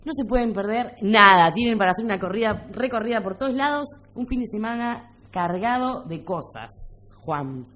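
A woman's voice: medium pitch, expressive, normal speed, a bit bright. A woman with an Argentine accent co-hosting a radio show, chatting casually and announcing events in an informal, playful way. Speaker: radio co-host.

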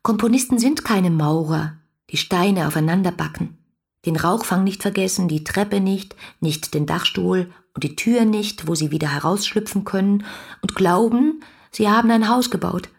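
A husky-edged female voice at medium pitch, playing an ardent letter writer in a literary radio drama. She is effusive and playful, teasing the man she admires with open devotion. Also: moderate pace. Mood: fervent. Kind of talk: literary radio play, letters read aloud.

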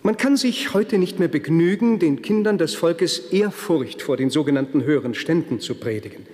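A man's voice: fairly high pitch, animated, moderate pace, darkish tone, lightly acted. Actor as preachy churchman in an echoing hall. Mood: exhorting. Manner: solemn, declamatory, preaching. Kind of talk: radio play acting, formal speech. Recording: long hall reverb, music behind.

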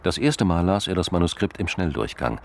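An actor playing the sober, deliberate narrator of a crime radio play, with a gravelly voice. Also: male voice, lively intonation, a little hiss or hum. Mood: sober, suspenseful. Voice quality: very deep, gravelly. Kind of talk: scripted radio drama narration.

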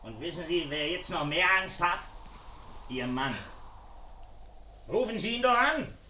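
An actor playing a wounded, menacing intruder who mocks and taunts through a door in a German radio crime play. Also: male voice, on the high side, sing-song, unhurried, distant mic, a little hiss or hum, heavily acted. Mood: mocking menace. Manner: jeering, mock-polite, swinging from muttering to shouting. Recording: echoey radio play, off the mic.

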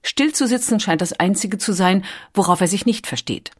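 A woman reading scripted documentary audiobook narration in a measured, articulate storytelling manner. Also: mid-range voice.

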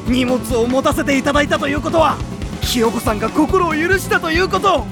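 An actor playing a brash, worshipful teenage schoolboy in an anime audio drama, with bombastic mock-formal phrasing and rough delinquent speech. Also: male voice, very high voice, fairly quick, music in the background. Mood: desperate pleading.

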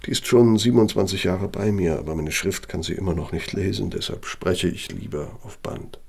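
Self-important old architect, low gravelly voice, lecturing and evasive, radio drama. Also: male voice, darkish tone, heavily acted. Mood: guarded, reminiscing. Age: older. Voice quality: gravelly, husky. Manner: measured, pompous, lecturing. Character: self-justifying elderly male German architect, pompous and evasive. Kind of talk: German radio play acting.

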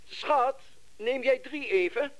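An actor playing a brisk, self-important businessman heard through a telephone filter in a radio play. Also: very high-pitched. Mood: hurried, dismissive. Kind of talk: Dutch radio play acting. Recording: dull band-limited telephone-line sound.

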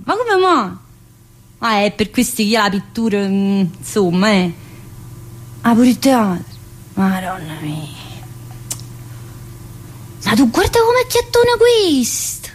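A coarse, chatty woman inmate, played by an actress in a stage monologue. Her voice sits a bit high and leaps between loud outbursts and low mutters. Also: heavily acted. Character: earthy, wisecracking woman prison inmate.

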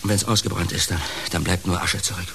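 A deep, gravelly, wounded fallen entertainer. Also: male voice, steady tone. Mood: brooding. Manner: halting, dramatic, emotionally laden delivery. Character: bitter, heartbroken fallen entertainer, male, wounded and brooding. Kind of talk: German radio play dialogue. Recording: clear background hiss.

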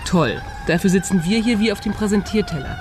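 An actress playing a practical, encouraging friend with a wry streak in a radio crime drama, speaking in an animated, conversational way. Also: female voice, medium pitch, fairly quick, natural voice. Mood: wry, doubtful.

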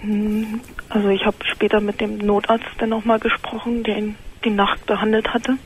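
Woman, early thirties, radio phone-in caller, flat intonation, halting, subdued, faint line noise. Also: medium pitch. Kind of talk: personal account in serious conversation. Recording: telephone call with faint noise.

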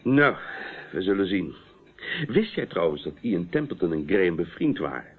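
A man's voice: low-pitched, sing-song, normal speed, breathy edge, heavily acted. A man acting in a radio play as a persistent police inspector, questioning in a businesslike, authoritative way. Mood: stern, insistent. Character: stern, persistent male police inspector interrogating a witness. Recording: muffled, narrow-band old radio recording.

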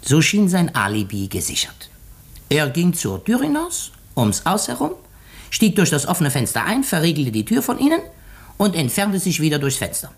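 An actor playing a shrewd, methodical detective in a 1950s radio play, explaining deliberately with dramatic pauses. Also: male voice, a little high, wildly swooping pitch, husky, exaggerated voice. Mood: measured, deducing. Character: shrewd, methodical male detective unmasking a murderer.

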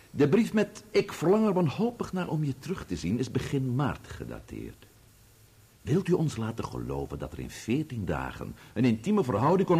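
Radio drama barrister: male, formal, pointed cross-examiner, raspy tone, wide pitch swings. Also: a little mellow, exaggerated voice. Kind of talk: Dutch radio play acting.

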